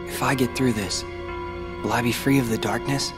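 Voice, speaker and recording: A man's voice: medium pitch, steady tone, normal speed, lightly acted. An actor playing a defiant young male video game hero who wrestles with inner darkness, earnest and intense. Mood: wary. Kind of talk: dramatic video game voice acting. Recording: music behind.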